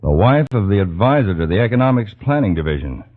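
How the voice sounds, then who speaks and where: An actor playing a shrewd, authoritative investigator in an old radio drama, measured and confident. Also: male voice, lowish voice, sing-song. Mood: grave, thoughtful. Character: shrewd, authoritative male government investigator chief. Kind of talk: 1940s radio drama acting. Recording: thin, phone-like old radio recording.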